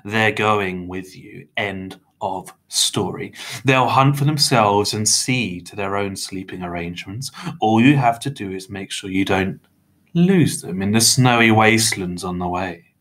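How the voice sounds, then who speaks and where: A man voicing a menacing, falsely jovial little villain who speaks with drawn-out mocking politeness in an audiobook story. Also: fairly low pitch, a bit bright, close to an everyday voice. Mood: quietly menacing.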